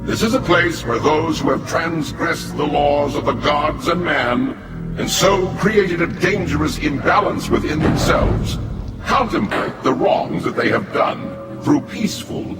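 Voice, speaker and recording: An actor playing a rigid, menacing prison warden, declamatory and officious, in a scripted video game. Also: male voice, fairly high pitch, animated, a little slow, echoey room. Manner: declamatory, officious, sharply emphatic. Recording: loud music behind the voice.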